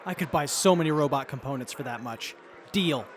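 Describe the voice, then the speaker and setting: An actor playing a polite, excitable and easily swayed young man in an audio drama, eager and deferential. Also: medium pitch, fairly quick, close to an everyday voice. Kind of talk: post-apocalyptic audio drama acting.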